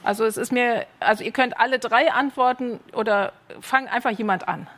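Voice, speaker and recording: A woman moderates a festival panel, her voice sitting a little high. She speaks in a friendly way and invites the audience to join the talk. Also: moderate pace, fairly bright tone. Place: panel stage at an arts festival. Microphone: handheld microphone.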